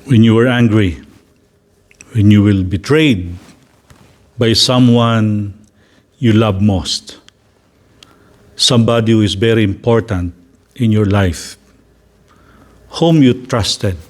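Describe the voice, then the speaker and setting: A man with a Filipino English accent, a priest leading a slow, gentle guided reflection for a gathering. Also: fairly low pitch. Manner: slow, deliberate, gently inviting.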